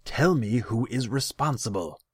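A man's voice: low-pitched, sing-song, a little slow, pitched above the performer's own voice, full character voice. A man voicing a haughty, hot-tempered khan in a fantasy story, pompous and demanding. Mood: furious, demanding.

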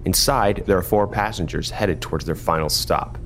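A man narrating as game master, in a steady descriptive manner, with music behind. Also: low voice, moderate pace, slight background noise. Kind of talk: scripted scene narration.

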